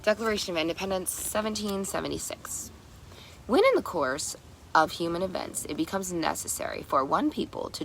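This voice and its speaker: Bright, creaky-voiced woman reading aloud. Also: low voice, a little hiss or hum, rough recording. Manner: halting, stumbles over long words and repeats them. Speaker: reader. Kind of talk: read-aloud of a historical document.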